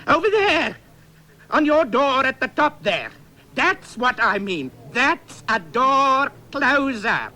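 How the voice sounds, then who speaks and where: A man's voice: very high-pitched, big pitch swings, slow pace, pushed and bright, exaggerated voice. A man with a South African accent playing an exasperated, sarcastic shop customer in a 1970s radio comedy sketch. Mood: exploding frustration. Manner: polite but seething, builds to frantic outbursts.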